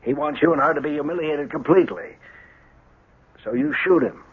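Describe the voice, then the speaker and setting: An actor playing an insistent, suspicious friend in an old radio drama. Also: male voice, a little high, brisk, gravelly, slightly rough. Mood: accusing. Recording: tinny, narrow old radio recording.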